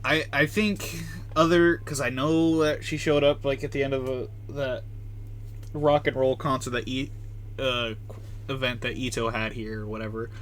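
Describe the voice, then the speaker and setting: A man streaming a game with casual, offhand commentary. His voice sits at an average pitch and he talks back jokingly. Faint noise is behind him. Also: steady tone, moderate pace, a bit bright. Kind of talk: casual live gameplay commentary.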